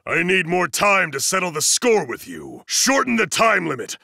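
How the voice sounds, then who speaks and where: An actor playing a huge, stern, zealous student enforcer in an anime fighting game, booming and bombastic, with formal, emphatic delivery and a gravelly, forceful voice. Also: male voice, huge pitch swings, moderate pace, no room sound, clean studio sound, heavily acted. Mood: courteous, composed.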